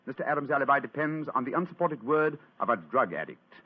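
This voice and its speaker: An actor playing a suave, teasing master detective in an old radio drama, with precise, theatrical delivery. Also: male voice, mid-range voice, sing-song, fast, breathy edge. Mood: incisive. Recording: dull old radio recording.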